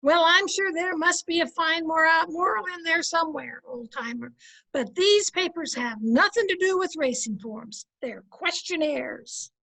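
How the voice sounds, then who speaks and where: A woman plays a folksy radio-comedy housewife with an extremely high voice. She needles gently and drily, as a patient wife does. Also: unhurried, vocal fry, exaggerated voice, poor audio. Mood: matter-of-fact. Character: dry-witted, teasing, patient housewife and wife. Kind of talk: old-time radio comedy acting. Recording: home video-call recording.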